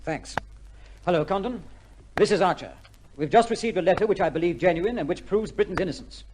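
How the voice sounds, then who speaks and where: An actor playing a tense, brisk colonel with a crisp, authoritative manner in an old-time radio drama. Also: male voice, high voice, animated, a little mellow. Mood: urgent, stunned. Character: tense, brisk colonel racing to halt an execution. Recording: faintly noisy old radio recording.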